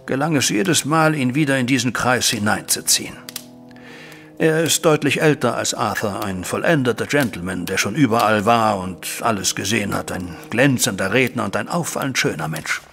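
A middle-aged widowed banker in an audio drama, worried and disappointed as a father. His mid-pitched voice has a gravelly creak. He tells his account formally and deliberately, over music. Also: lively intonation, fairly bright tone. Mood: melancholy. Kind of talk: German audio drama acting, recounting events. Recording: music bed behind voice.

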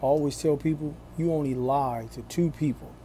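A man in his forties talking to his phone camera in a small room, slow and deliberate, with a preachy tone. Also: fairly high pitch, steady tone, mellow, a little hiss or hum. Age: 40s. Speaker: vlogger. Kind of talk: casual talk to camera.

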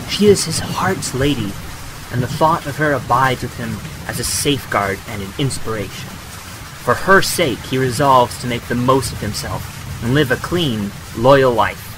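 A man plays a courteous young suitor in a period romance audio drama. He is gentle, earnest and slightly hesitant, his pitch rising and falling freely. Rain is clearly heard behind him. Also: expressive, moderate pace, noisy background, close to an everyday voice. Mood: earnest, tender.

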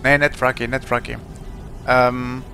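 Male streamer, thirties, forceful voice with plenty of fry, rambling and colloquial game chat. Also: mid-range voice, level tone, a little slow, faint music behind. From Germany. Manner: rambling, colloquial, thinking aloud. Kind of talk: casual livestream chat while gaming.

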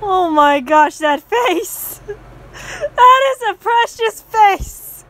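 Female actor as excitable questioner for a comic dub, teasing, high and very animated. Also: extremely slow, soft background music, exaggerated voice. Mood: giddy. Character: giddy, teasing fan asking questions.

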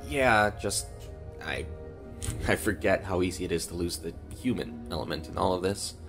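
An actor playing an earnest, courteous and reflective rebel commander with a halting manner in a sci-fi audio drama. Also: male voice, low-pitched, over music. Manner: hesitant, halting.

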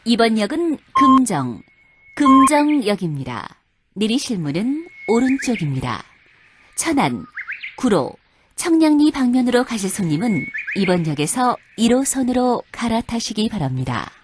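A woman announcer reading scripted subway station announcements in a formal, clearly enunciated manner. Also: a little high, big pitch swings, unhurried, noisy background. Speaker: train announcer.

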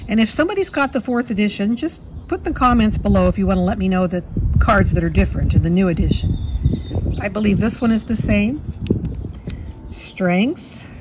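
A woman with a slightly hoarse voice from a cold, chatting fondly through a walkthrough of a card deck at her table. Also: medium pitch, expressive, normal speed, background noise. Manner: fond, chatty, admiring. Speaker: tarot deck reviewer. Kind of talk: casual walkthrough. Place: indoors at a table.

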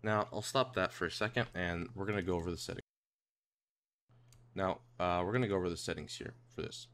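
A man narrating a casual software tutorial in a hesitant, rambling way, repeating himself. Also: deep voice, brisk. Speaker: tutorial presenter. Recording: home mic with clear background noise.

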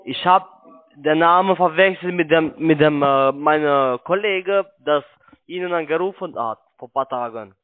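Male cold caller, non-native speaker, higher-pitched, insistent and halting, narrow telephone sound. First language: not German. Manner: pushy, broken grammar, halting. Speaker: cold-calling telemarketer. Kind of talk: phone sales call. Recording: narrow tinny phone line.

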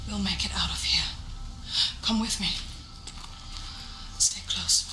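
A woman's voice: mid-range voice, fairly even intonation, slow, bright, forceful tone, slight background noise. A woman playing a resolute, intense young warrior in a video game, protective and earnest, speaking in short urgent phrases. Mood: determined. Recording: echoing voice over game music.

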